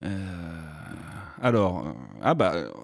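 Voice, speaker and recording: A man hosting a casual podcast interview, asking questions in a relaxed, teasing way. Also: low-pitched, takes it slowly, vocal fry. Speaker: podcast host.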